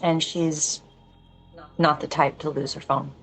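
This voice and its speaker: Woman as a low-voiced, wry police lieutenant. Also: level tone, takes it slowly, fairly bright tone, faint music behind, poor audio. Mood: calm, inquiring. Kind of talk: film script table read.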